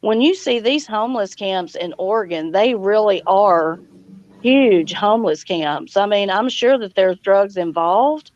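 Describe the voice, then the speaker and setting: A woman's voice: medium pitch, lively intonation, measured pace, a little mellow, dry recording. A woman hosting a casual live chat, speaking in a folksy way and greeting guests and viewers with y'all. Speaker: live chat stream host. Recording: dull lo-fi audio.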